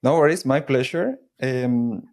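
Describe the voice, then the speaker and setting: A man's voice: mid-range voice, unhurried, darkish tone. A man hosting a podcast, welcoming his guest and often repeating words as he thinks aloud. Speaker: podcast host. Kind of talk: podcast interview.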